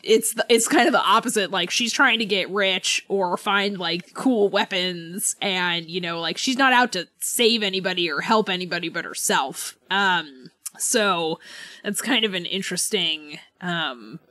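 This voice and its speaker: A woman co-hosting a podcast in casual book club chat, giggly and rambling in an animated fan's manner. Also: lowish voice, measured pace, no room sound. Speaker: podcast co-host.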